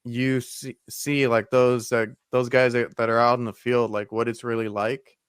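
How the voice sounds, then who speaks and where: A man's voice: medium pitch, flat delivery, normal speed, clear, resonant tone, dry recording, studio quality. A man in his thirties, a podcast host with a trade background, asking questions with many fillers and restarts. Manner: friendly, full of fillers and restarts. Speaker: podcast host, HVAC technician. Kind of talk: casual interview talk.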